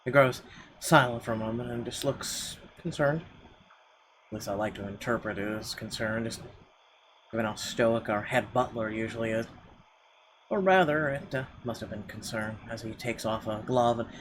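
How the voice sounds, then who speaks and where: A man's voice: medium pitch, moderate pace, noisy background. A man hosting a gaming video, reading visual novel narration aloud with conversational asides. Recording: game music under the voice.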